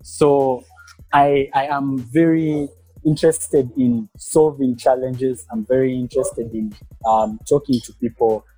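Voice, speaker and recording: A young man from Uganda, a guest entrepreneur, talking in a podcast interview with hesitant pauses and restarts. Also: mid-range voice, steady tone, no room sound, faint music behind, rough recording. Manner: hesitant, many fillers and restarts, soft-spoken.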